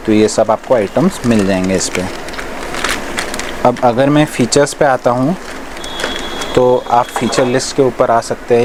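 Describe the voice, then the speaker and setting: A man from India giving a casual, explanatory product demonstration, mixing English words into his talk. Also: medium pitch. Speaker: shop presenter reviewing riding gear. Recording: clear background noise, vehicle sounds.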